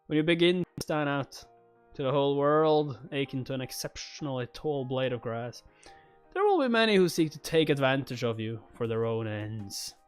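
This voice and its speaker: A man voicing a shrewd old merchant from a comic, speaking in formal, measured, courteous phrases. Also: medium pitch, lively intonation, clean tone, faint music behind, lightly acted. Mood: cautioning.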